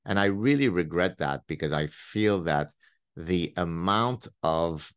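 A middle-aged man from Lebanon, a native Arabic speaker, with a very low, deep voice. He hosts a casual conversation, thinking aloud, restarting phrases and leaning into playful emphasis. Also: lively intonation, unhurried, clean tone. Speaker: podcast host.

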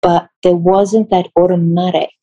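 A woman from Israel who works as a theatre designer answers interview questions in a thoughtful, measured way, pausing and correcting herself as she goes. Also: on the low side, little pitch movement, dark tone, muffled recording.

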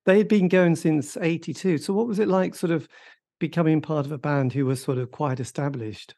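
A man's voice: fairly high pitch, fairly even intonation, fairly quick, warm tone. A man hosting an interview, asking questions in a tentative, hedging way.

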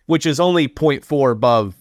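A man's voice: medium pitch, animated, moderate pace, dry recording, studio quality. A man hosting a casual podcast chat, talking animatedly and acting out quotes in a raised voice. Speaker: podcast host.

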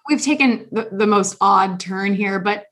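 A woman hosting a casual podcast chat, talking in an animated, rambling way with many restarts. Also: medium pitch, a little slow. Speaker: podcast host.

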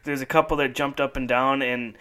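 A man's voice: mid-range voice, steady tone, fairly quick, pushed and bright, clear, resonant tone. A man co-hosting a casual podcast, chatty and laughing often, repeating his words as he goes. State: feeling run down. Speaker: podcast co-host. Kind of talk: casual chat.

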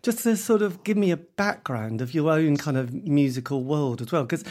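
Casual guy in his 50s hosting a radio chat, keeps saying sort of, voice a bit high. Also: animated, moderate pace, darkish tone. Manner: chatty, rambling with many sort-ofs. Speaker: radio show host.

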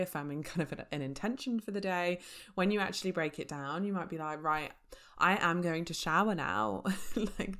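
A woman hosting a casual solo podcast, chatty and laughing often between fillers, her voice a little croaky from a sore throat. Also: low voice, a bit bright. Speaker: podcast host.

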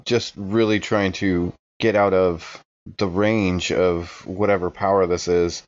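A man's voice: sits low, steady tone, slow pace, highs cut off. A man playing a tabletop game, talking casually through his character's moves.

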